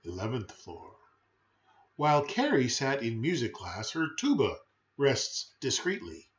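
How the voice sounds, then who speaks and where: A man's voice: medium pitch, huge pitch swings, unhurried, muffled recording. A man reading a children's rhyming picture book aloud in a theatrical, playful, emphatic way with brief chatty asides.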